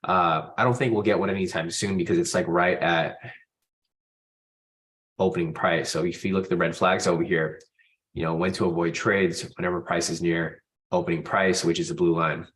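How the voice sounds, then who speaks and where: A man's voice: deep voice, steady tone, moderate pace, a bit bright, rough recording. A man with a stuffy nose from a cold, hosting a live trading stream in a casual, informal way and addressing viewers directly. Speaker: host of a live trading room.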